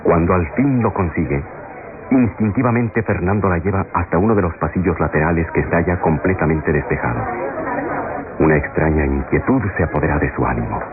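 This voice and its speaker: A man's voice: low-pitched, fairly quick. A man narrating a scripted radio soap opera in a dramatic, old-fashioned style. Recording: muffled lo-fi old radio recording. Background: loud dramatic music.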